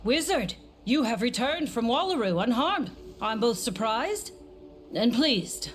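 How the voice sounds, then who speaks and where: A reserved scholar for a game cutscene. Her voice is a little high, formal and precise, with faint music underneath. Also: big pitch swings, a little slow. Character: reserved, skeptical scholarly leader. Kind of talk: scripted video game cutscene acting.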